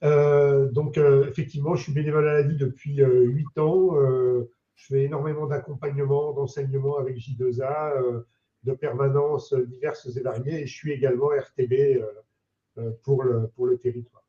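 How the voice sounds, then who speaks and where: A man with a cold, a volunteer advisor, introducing himself on a webinar in a halting way with many fillers. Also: medium pitch, little pitch movement. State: has a cold. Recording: roomy, dull lo-fi sound.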